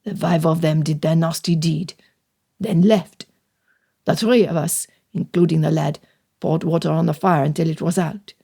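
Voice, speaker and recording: A woman's voice: low voice, fairly even intonation, normal speed, vocal fry, dark tone, husky, pitched below the performer's own voice, full character voice. A woman voicing a burly, grave old villager. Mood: somber. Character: burly older village man, grave and blunt.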